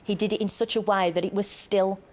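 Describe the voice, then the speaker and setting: A woman's voice: medium pitch, steady tone, brisk, a little mellow. A woman teacher on a book panel, speaking enthusiastically with frequent ums. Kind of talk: panel discussion.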